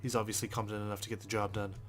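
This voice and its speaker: A man's voice: on the low side, flat delivery, rapid-fire, fairly bright tone. A man hosting a game playthrough, reading visual novel narration aloud in a brooding, sweary way with casual asides. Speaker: let's play host.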